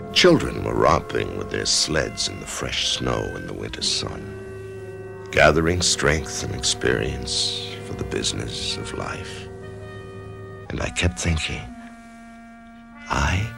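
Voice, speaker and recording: A man's voice: rumbling low, creaky, full character voice. An actor playing a troubled middle-aged office man who narrates his own story in a 1990s radio drama, hesitant and brooding. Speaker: narrator-protagonist.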